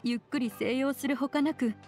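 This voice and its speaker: A high-voiced young woman, as an actress plays her speaks with formal, earnest politeness, a caring figure in game dialogue. Faint music runs beneath her lines. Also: steady tone, moderate pace, a little mellow, close to an everyday voice. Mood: troubled.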